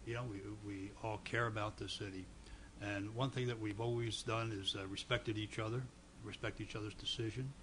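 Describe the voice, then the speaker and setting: An older man, the vice mayor, speaking hoarsely with a cold in folksy, stammering council remarks at a desk microphone. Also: lowish voice, steady tone, fairly quick, noisy background. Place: council chamber, seated at dais.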